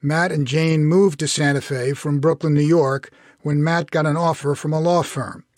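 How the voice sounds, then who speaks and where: Male author narrator, slightly high register, close dry recording, scripted prose. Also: steady tone, moderate pace, darkish tone, no room sound. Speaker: author reading own story. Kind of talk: scripted short story narration.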